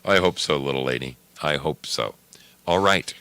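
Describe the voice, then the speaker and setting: A man's voice: a bass voice, normal speed, bright, forceful tone. A man hosting a radio show, chatting casually with listeners in a folksy, theatrical manner. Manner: folksy, theatrical, addresses listeners as friends and dear brothers. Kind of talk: casual live chat with listeners.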